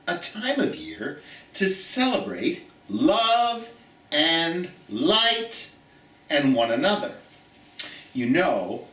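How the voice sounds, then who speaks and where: A man hosting a children's storytime in a theatrical, folksy, chatty way, addressing listeners directly as in an expressive picture-book read-aloud. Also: a little high. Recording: roomy echo, distant from the mic.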